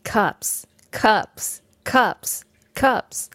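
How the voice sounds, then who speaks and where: A woman's voice: on the high side, lively intonation, very slow, fairly bright tone. A woman co-hosting a podcast with casual commentary and reading aloud. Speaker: podcast co-host.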